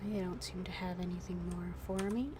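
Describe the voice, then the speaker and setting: A woman game streamer giving casual, unhurried live commentary and reading bits of dialogue aloud. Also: lowish voice, steady tone, background noise. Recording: music behind the voice.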